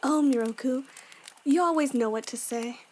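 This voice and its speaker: A woman playing a gentle but firm young warrior, sincere and a little deadpan, in a fan audio drama. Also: high-pitched, moderate pace, a little hiss or hum, close to an everyday voice.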